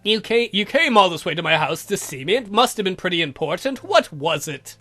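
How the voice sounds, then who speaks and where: A woman voicing a stern male count in a visual novel, measured, imperious and coolly probing. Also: on the low side, animated, a bit bright, soft background music, voice pushed down. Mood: commanding. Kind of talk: visual novel read aloud in character.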